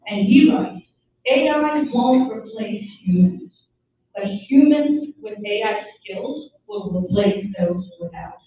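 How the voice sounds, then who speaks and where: A woman's voice: medium pitch, a little hiss or hum. A middle-aged woman from Syria, a native speaker of Armenian, giving an earnest, emphatic gala keynote with rhetorical questions. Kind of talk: keynote speech. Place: large event hall. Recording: distant, echoey, phone-like hall audio.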